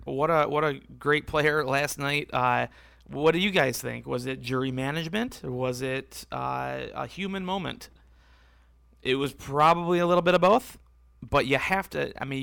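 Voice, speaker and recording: A man hosting a casual solo podcast, rambling and correcting himself as he talks, with a voice that is going hoarse. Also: mid-range voice, a bit bright, no echo. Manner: rambling, self-correcting, conversational. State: losing his voice, hoarse. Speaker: podcast host.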